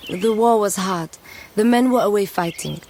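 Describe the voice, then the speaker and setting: A woman's voice: medium pitch, normal speed, noisy background, lightly acted. A woman playing a courteous, reserved housemaid, speaking briefly and deferentially in radio drama. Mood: sombre, sympathetic. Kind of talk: naturalistic radio drama dialogue. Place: outdoor veranda scene. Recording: faint outdoor rural ambience.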